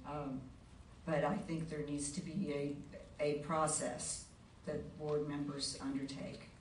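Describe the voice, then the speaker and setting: A woman giving an unscripted, halting and measured answer as a candidate at a public forum. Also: sits low, level tone. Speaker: school board candidate. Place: large meeting board room. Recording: roomy distant mic, faint noise.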